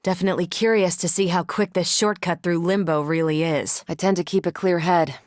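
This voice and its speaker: Woman acting a confident, wry superhero, lowish voice. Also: fairly even intonation, fairly quick, a little mellow, dry recording, studio quality, lightly acted. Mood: dry, assured. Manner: casual, dryly joking, assured. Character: confident, wry, quippy adult female superhero soldier. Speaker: video game voice actor. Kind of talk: video game character dialogue.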